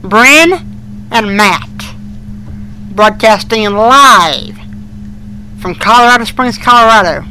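A man's voice: high voice, slow, pauses often, sharp tone, slight background noise, poor audio. A male co-host chatting casually on a fan podcast, in an amateur recording.

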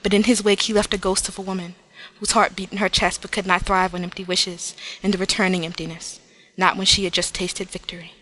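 A woman giving a dramatic reading as an earnest, wistful narrator of a romantic tale, deliberate and theatrical. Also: mid-range voice, little pitch movement, a bit bright, voice pushed down, close to an everyday voice, rough recording. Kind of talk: dramatic reading of fan fiction.

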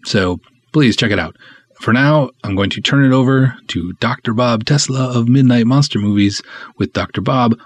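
A man with a fairly low voice and a husky texture talks casually as a podcast host. His delivery is wry and snarky, and the sound is dry and close. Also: no room sound. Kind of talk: casual podcast talk, reading reviews aloud.

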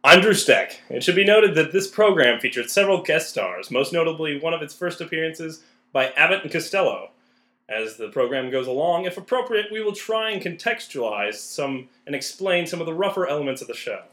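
A male co-host reads scripted narration for a radio play. His voice is forceful and swooping, recorded in an echoey room. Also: fairly high pitch, lively intonation, brisk.

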